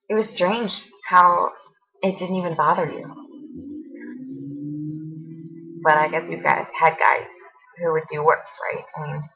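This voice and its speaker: A woman acting a hurt, accusing young woman confronting her former best friend in a halting, emotional dramatic monologue. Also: medium pitch, fairly bright tone, muffled recording. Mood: bitter, disbelieving. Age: young adult.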